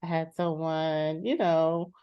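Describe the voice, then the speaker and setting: Practical thirty-something woman client, acted by a woman: very low, unhurried, halting, slightly dull recording. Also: fairly even intonation, clean tone, no room sound. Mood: reflective, unsure. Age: 30s. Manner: halting, reflective. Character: content, practical thirty-year-old homebody, protective older sister. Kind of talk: improvised therapy role-play.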